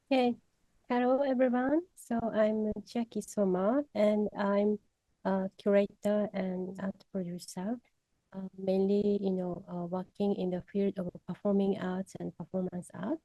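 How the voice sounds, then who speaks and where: A woman's voice: mid-range voice, flat delivery, measured pace, dark tone, no echo, low-quality recording. Chatty woman curator, dry close sound. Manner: chatty, with many "you know" fillers. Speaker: curator and art producer. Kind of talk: casual self-introduction at an online briefing.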